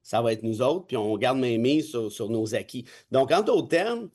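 A man's voice: medium pitch, animated, moderate pace, darkish tone. A man in his forties with a Québécois accent, a constitutional law expert giving his views in emphatic, cynical, colloquial talk. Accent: Québécois French. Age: 40s. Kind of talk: casual opinion talk.

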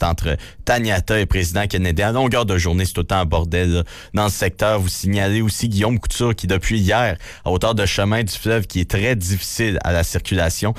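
A man's voice: sits low, fairly quick, hardly any pauses, dry recording, clean studio sound. A man with a Quebec French accent reads traffic and news items on the radio, matter-of-fact, with slangy remarks between items. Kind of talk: radio news bulletin, read briskly with casual asides.

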